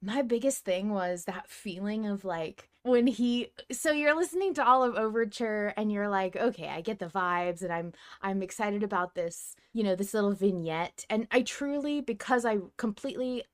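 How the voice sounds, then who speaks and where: A woman hosting a podcast in a casual fan discussion, talking in an animated, excitable way and acting out her reactions. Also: medium pitch, normal speed, hardly any pauses. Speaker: podcast host.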